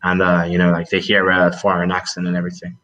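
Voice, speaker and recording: A young man with a lowish voice and a bright, forward edge. He talks in a relaxed, halting way as a podcast guest, with lots of fillers and restarts. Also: dull audio. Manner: hesitant, many fillers and restarts. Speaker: podcast guest, travel video maker. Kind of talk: casual conversation.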